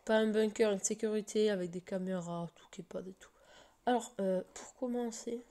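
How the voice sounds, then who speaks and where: A woman's voice: medium pitch, steady tone, moderate pace, warm tone. Hesitant woman with a slight cold. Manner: hesitant, full of fillers and restarts. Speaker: game tutorial maker. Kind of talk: casual screen-recorded game tutorial.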